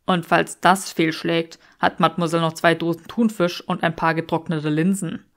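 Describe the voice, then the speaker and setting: A smug young aristocrat from a fantasy audiobook, acted by a woman reader. He speaks low with a creaky edge, needling and mock-serious, drawing out his teasing. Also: steady tone, normal speed, natural voice.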